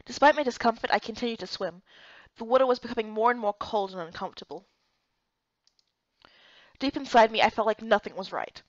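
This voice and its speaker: A woman giving expressive first-person scripted narration of a fantasy story. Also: a little high, fairly quick, long pauses, dry recording, highs cut off. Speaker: narrator and voice actor for a visual novel playthrough.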